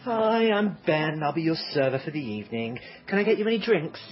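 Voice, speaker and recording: A man's voice: a little high, big pitch swings, brisk, muffled recording. An actor in a comic radio drama playing a sassy, meddling male waiter, theatrical and arch in manner. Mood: mock-innocent. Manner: theatrical, arch, feigned clumsiness.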